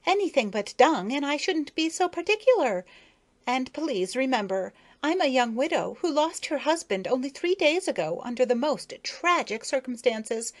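A woman's voice: high voice, sing-song, moderate pace, voice pushed up. A woman voicing a haughty young widowed female beetle, fussy and superior.

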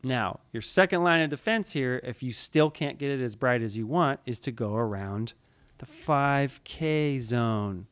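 A man in his thirties walks through studio settings in an easygoing, conversational way. His voice sits at an average pitch for a man and comes through clean on a close studio microphone in a small home studio. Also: measured pace, clear, resonant tone. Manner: relaxed, explanatory, conversational. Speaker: audio engineer teaching. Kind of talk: screen-recorded tutorial. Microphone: studio mic in frame, wearing headphones.

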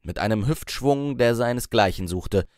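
A man's voice: mid-range voice, lively intonation, moderate pace, darkish tone, no echo, studio quality. A man reading a scripted story as an audiobook narrator.

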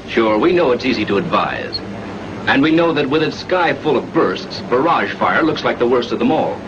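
A man's voice: medium pitch, animated, moderate pace, background noise. Muffled 1940s American male training-film narrator. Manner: authoritative, instructional.

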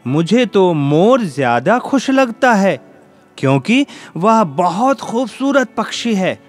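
A man's voice: high-pitched, sing-song, slow, exaggerated voice. A man voicing a modest, discontented parrot in a children's fable, plaintive and self-doubting. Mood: plaintive, envious.

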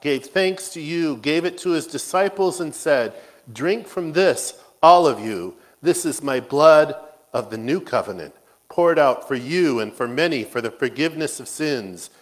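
A man serving as pastor, reciting a communion liturgy in a measured, solemn way in a church. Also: medium pitch, low-quality recording. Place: church sanctuary.

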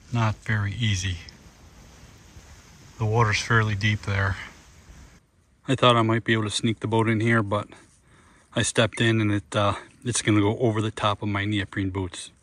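A man's voice: lowish voice, level tone, normal speed, long pauses, a bit bright. A man in his forties vlogging a solo canoe trip, talking matter-of-factly and swearing under his breath, recorded outdoors on a small action camera by a pond. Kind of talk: first-person outdoor vlog narration. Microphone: action camera clipped at chest or held. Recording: outdoor camera audio with wind noise. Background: wind and water.

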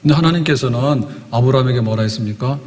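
A man's voice: mid-range voice, fast talker, darkish tone. A man in his sixties preaching a sermon as a pastor, explaining like a storyteller and putting rhetorical questions to his listeners. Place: church hall, indoors at a pulpit. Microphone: podium microphone. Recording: long hall reverb, church sound system.

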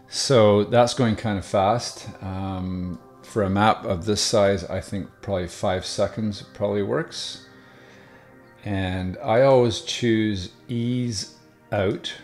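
A man calmly explaining software steps one by one in a screen-recorded tutorial, with the odd filler. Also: deep voice. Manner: relaxed step-by-step explaining with fillers. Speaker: video tutorial host.

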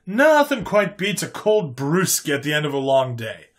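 Breezy human interrogator played by a male narrator, pitched up and swinging, folksy sci-fi storybook good guy. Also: moderate pace. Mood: folksy, enthusiastic. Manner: folksy, calm, drawling colloquial phrases.

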